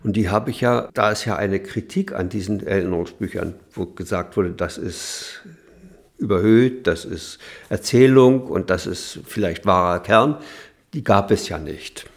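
Low, creaky man reminiscing haltingly. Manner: halting, tag questions, circling sentences. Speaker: interviewee. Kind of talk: spontaneous interview reminiscence.

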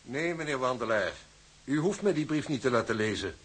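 Smug, husky company official. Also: male voice, mid-range voice, expressive, fairly bright tone. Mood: cold officiousness. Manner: measured, unctuous, officious. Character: smug, coldly formal shipping company official. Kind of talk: Dutch radio drama acting.

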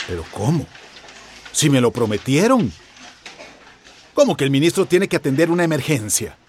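A man's voice: a little high, very animated, moderate pace. A man acting in a radio drama as a frustrated, insistent advocate for his community. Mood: exasperated.

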